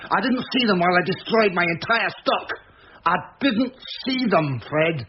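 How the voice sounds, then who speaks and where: An actor playing an embittered, defiant pub landlord in a British radio drama, blunt and sarcastic. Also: male voice, high voice, expressive, moderate pace. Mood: angry, weary. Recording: muffled radio recording, faint noise.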